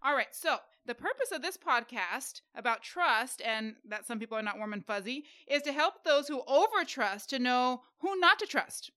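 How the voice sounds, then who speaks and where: Bright, giggly woman podcast co-host. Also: high voice, animated, moderate pace, few pauses. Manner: playful, giggly, jokes around. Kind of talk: casual podcast chat.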